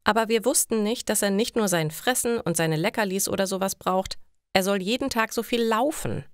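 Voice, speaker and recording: A woman's voice: medium pitch, a little fast, dry recording, clean studio sound, close to an everyday voice. A woman voicing a friendly elderly male neighbour in a story for language learners. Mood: explaining, apologetic. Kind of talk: German learner story dialogue.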